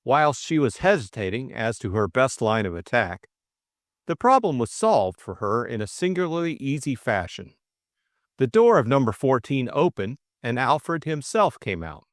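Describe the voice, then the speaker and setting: A man narrates an audiobook from a script. His pitch moves in a lively, expressive way across each sentence. The recording is very dry and close, with little room sound. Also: normal speed, no echo.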